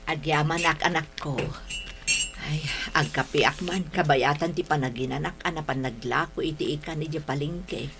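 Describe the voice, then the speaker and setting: A woman's voice: deep voice, normal speed, noisy background. An actress playing a caring, hard-up middle-aged mother in a radio drama, tender and pleading, with an Ilocano accent. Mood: warm, weary.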